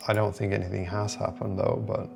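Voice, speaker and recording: A man speaks casually to his vlog audience in a low, gravelly voice. He takes his time and rambles informally, with music playing behind him. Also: little pitch movement, brisk. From lives in southern Sweden. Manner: rambling, informal, hesitant phrasing. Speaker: host of a startup-building video diary. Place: indoor room beside a window.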